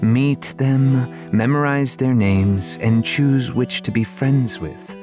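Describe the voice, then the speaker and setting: A man's voice: medium pitch, fairly even intonation, slow, music in the background, pitched above the performer's own voice, natural voice. A man voicing a weary, shrewd queen mother in a fantasy audiobook, with a matter-of-fact, instructing manner. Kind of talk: audiobook character voice.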